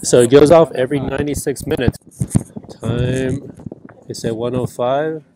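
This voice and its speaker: A man's voice: medium pitch, fairly even intonation, normal speed, background noise. A man in his thirties, a travel vlogger chatting casually and thinking aloud as he walks outdoors, recorded on a wired earphone mic. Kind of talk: casual vlog commentary. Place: outdoors at a geothermal park.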